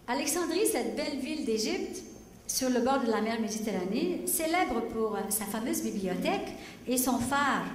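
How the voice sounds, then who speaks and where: A woman's voice: a little high, a little hiss or hum. A woman from Egypt whose first language is Arabic, a singer-songwriter hosting a benefit concert and reciting a poem on stage. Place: large concert hall. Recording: echoey hall from far off with music.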